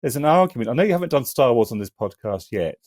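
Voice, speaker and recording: Male academic guest, middle-aged, thoughtful and halting, very dry recording. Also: medium pitch, very animated, darkish tone, dry recording. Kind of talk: casual reminiscing and discussion.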